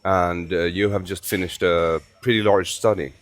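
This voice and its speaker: A man hosting a conversational interview, asking short questions with hesitant pauses partway through. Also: low-pitched, steady tone. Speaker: podcast host, interviewer.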